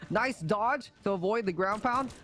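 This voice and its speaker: A man's voice: sits high, a little slow, pushed and bright. A man commentating an esports tournament on a livestream in a casual, appreciative way, often repeating his phrases. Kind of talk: casual live game commentary and sign-off.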